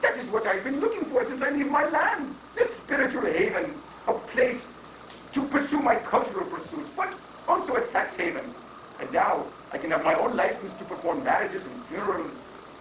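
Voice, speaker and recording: A performer in a live play reading voicing an excitable, gleeful foreign would-be guru with a theatrical, exclamatory manner. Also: medium pitch, close to an everyday voice. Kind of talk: dramatic play reading before audience. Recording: roomy 1980s live recording, faint noise.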